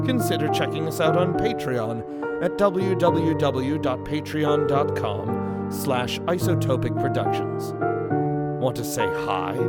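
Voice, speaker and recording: A man reading scripted credits as the announcer of an audio drama. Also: mid-range voice. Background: loud plucked guitar music.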